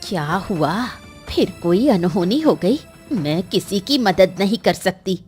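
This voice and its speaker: A woman's voice: medium pitch, big pitch swings, slow pace, darkish tone, soft background music. A woman voicing a bitter, grieving old village woman shunned as a witch, in a melodramatic cartoon story with an aggrieved, emphatic delivery. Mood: bitter, hurt.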